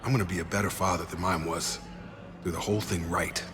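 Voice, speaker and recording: A man's voice: low-pitched, fairly even intonation, fast, natural voice. A man playing a loyal, easygoing ex-soldier in a video game, talking earnestly and familiarly with a comrade. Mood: earnest, hopeful. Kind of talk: conversational game dialogue. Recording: reverberant, music behind.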